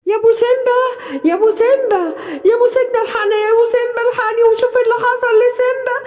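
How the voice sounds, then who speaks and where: Shrill frantic lioness mother played by a woman. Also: fairly even intonation, very fast, darkish tone, far from the mic. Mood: panicked. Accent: Egyptian. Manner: shrill, urgent, wailing. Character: frantic mother lioness searching for her missing cub. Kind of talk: children's cartoon storytelling in colloquial Arabic. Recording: long hall-like reverb.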